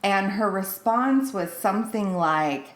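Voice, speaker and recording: A woman's voice: mid-range voice, animated, slow pace. A woman telling personal anecdotes in casual conversation, acting out what was said, with a roomy echo on her voice. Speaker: podcast speaker. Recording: roomy, echoing sound.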